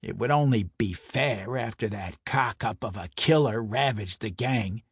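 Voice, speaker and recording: A man's voice: lowish voice, unhurried, slightly rough, no room sound. A man performs a mob enforcer with a thick Brooklyn accent, in the manner of audio crime fiction. He is brash and mocking, throwing his words around with theatrical bluster. The sound is dry, with almost no room on it. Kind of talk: dramatic audio fiction acting.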